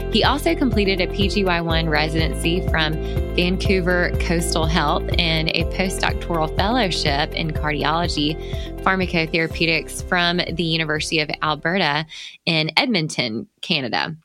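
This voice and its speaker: A woman from the American South with a Southern accent, hosting an interview podcast in a chatty, friendly way. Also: low voice. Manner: friendly, chatty, laughs often. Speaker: podcast host.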